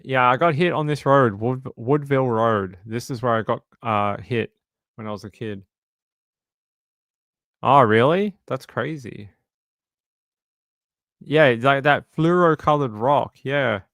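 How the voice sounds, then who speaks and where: A man's voice: mid-range voice, a little slow, pauses often, a bit bright, no echo. Rambling Australian man, dry close sound. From Sydney, Australia. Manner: rambling, self-correcting storyteller. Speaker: true crime streamer. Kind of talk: casual livestream chat.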